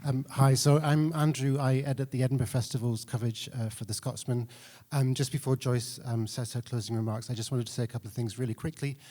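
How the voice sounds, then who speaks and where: A man's voice: mid-range voice, steady tone, a little fast, dark tone. A man giving an informal, somewhat hesitant tribute speech as an editor at an awards ceremony. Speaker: newspaper arts editor.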